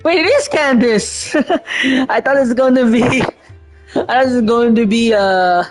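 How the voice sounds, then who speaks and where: A woman streaming a game with casual, excitable fan commentary. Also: on the high side, takes it slowly, soft background music.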